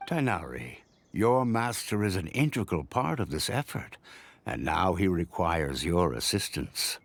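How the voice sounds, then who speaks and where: A man playing a composed, authoritative older sage in a video game, formal and smoothly evasive. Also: low voice, very animated, no room sound, heavily acted. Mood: composed, stern. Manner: measured, formal, commanding.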